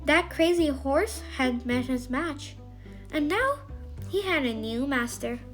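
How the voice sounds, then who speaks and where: A woman narrating a scripted children's bedtime story in an expressive, emphatic way. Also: high voice, slow, soft background music.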